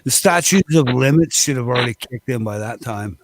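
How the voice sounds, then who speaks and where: A man's voice: mid-range voice, lively intonation, fairly quick, no echo. A man in casual chat, blunt and matter-of-fact, swearing freely.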